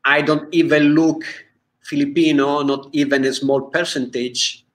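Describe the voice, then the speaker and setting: Slow, halting Italian-accented man. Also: fairly high pitch, little pitch movement, fairly bright tone. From Italy. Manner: halting, searching for words, frequent uh and restarts. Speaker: guest filmmaker. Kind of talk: casual interview chat. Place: home room, indoors. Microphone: video call webcam.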